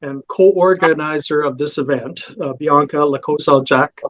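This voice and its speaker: A man's voice: on the high side, a little slow, a bit bright. A man hosting an event and introducing the next presenter, heard in dull, muffled sound.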